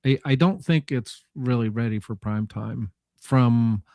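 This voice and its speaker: A man in a casual tech discussion, speaking haltingly and correcting himself as he thinks aloud. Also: mid-range voice, a little mellow, dry recording, clean studio sound.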